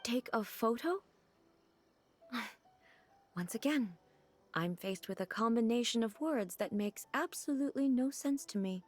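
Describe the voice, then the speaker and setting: A woman voicing a regal, composed female ruler in a video game cutscene, speaking in measured, formal and earnest sentences. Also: a little high, animated. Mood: puzzled.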